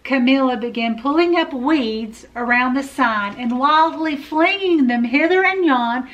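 A middle-aged woman reads a picture book to children as a library storyteller. Her voice is fairly high and she takes her time. The delivery is expressive. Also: unhurried, highs cut off. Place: indoor room with full bookshelves.

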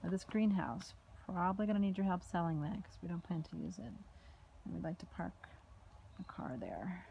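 A woman giving a casual walking tour of a house and its backyard, filmed on a handheld phone, with chatty remarks that trail off into soft asides. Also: fairly low pitch, expressive, normal speed, gravelly, lo-fi sound, slight background noise. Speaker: home tour vlogger. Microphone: phone camera held while walking.

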